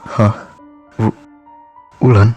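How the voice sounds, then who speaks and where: A man's voice: very slow, warm tone, muffled recording, soft background music. A man playing a skeptical, reluctant teenage schoolboy in a visual novel, with a hesitant, questioning delivery. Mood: uneasy, startled.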